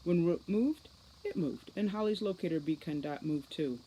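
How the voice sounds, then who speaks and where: A woman giving an expressive scripted narration of a story as an audiobook reader. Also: on the low side, moderate pace, slight background noise, rough recording.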